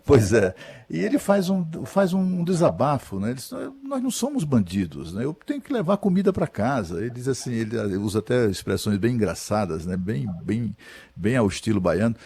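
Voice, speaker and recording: A man's voice: medium pitch, moderate pace, slightly rough. A middle-aged man hosting a radio news show, asking questions hesitantly with many fillers on a studio microphone. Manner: hesitant, many fillers and restarts, animated when retelling.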